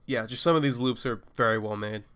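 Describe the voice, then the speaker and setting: A man's voice: medium pitch, a little fast, heavy background noise. Nasal man with a cold. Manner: laid-back, reacting with short exclamations. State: sick with a cold. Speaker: sample pack reviewer. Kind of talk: casual review commentary. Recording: music playing behind.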